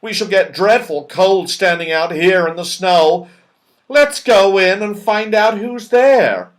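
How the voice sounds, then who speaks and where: A man's voice: high voice, slow, pitched above the performer's own voice. A man voicing an anxious, bossy storybook leader who calls out orders to companions. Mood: shivering, worried.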